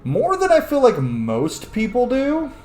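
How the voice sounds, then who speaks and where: A man's voice: extremely high pitch, huge pitch swings, unhurried, darkish tone, faint music behind. A man hosting a video game let's-play with chatty, theatrical, sweary casual commentary. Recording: roomy echo.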